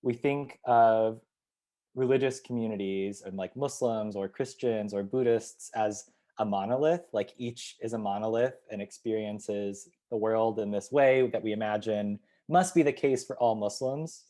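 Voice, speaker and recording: Guy being interviewed, soft mellow voice, thinks out loud w lots of um and like. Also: on the low side, unhurried. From United States, Ohio. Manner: reflective, thinks aloud with many fillers and restarts. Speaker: community organizer, guest. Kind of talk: interview answers.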